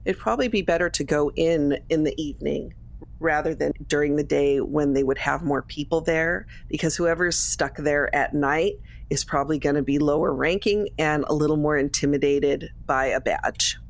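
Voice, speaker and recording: Female role-play gamer, low voice, casual and conversational delivery. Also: moderate pace. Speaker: tabletop game player.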